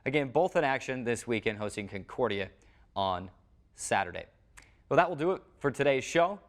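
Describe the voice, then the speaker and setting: A man's voice: medium pitch, animated, normal speed. A man presenting a sports show as its host.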